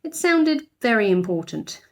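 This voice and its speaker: A woman giving an expressive narration of a children's storybook, like a teacher reading aloud. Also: fairly high pitch, unhurried.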